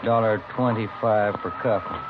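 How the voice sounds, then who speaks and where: An easygoing male police detective played by an actor talks plainly in a somewhat low voice. The vintage radio drama sounds phone-thin, with clear noise. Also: fairly even intonation, normal speed, close to an everyday voice. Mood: wry. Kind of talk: 1950s radio drama acting. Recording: noisy, tinny old radio recording.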